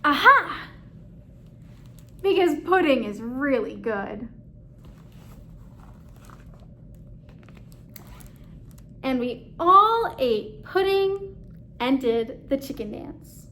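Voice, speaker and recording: A woman voicing a meddling, self-important and excitable storybook narrator in a pompous, theatrical manner. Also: high voice, slow, exaggerated voice. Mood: excited. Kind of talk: children's picture book read-aloud.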